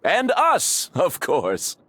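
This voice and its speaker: A man's voice: high-pitched, huge pitch swings, very slow, fairly bright tone, dry recording, full character voice. A man playing a courteous, appreciative village man in a video game. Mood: pleasantly surprised, grateful.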